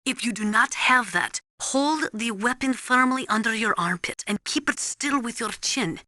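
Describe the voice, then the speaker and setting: A woman's voice: on the high side, expressive, moderate pace, pushed and bright, dry recording, studio quality. A woman voicing a serious, knowledgeable military analyst in a video game, briefing in a precise, formal, instructive way.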